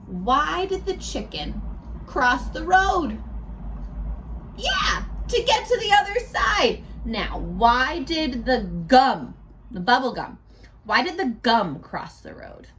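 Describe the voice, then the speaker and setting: A woman teaching young children in a read-aloud lesson, speaking in an animated, playful way and asking questions as if the viewers answer back. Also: high voice, takes it slowly, slight background noise. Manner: asks questions and answers imagined replies.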